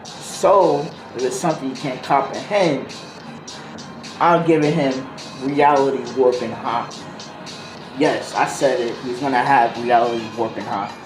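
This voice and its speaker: A man's voice: mid-range voice, fairly even intonation, unhurried. A man telling a story casually in slangy, rambling speech, improvising as he goes and drifting into tangents. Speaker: video host. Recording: roomy echo, music behind voice. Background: music beat.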